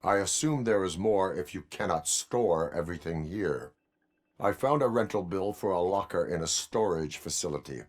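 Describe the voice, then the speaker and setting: An actor playing a stoic cyborg police officer in a video game, speaking in a deadpan, formal, clipped way. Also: male voice, deep voice, normal speed.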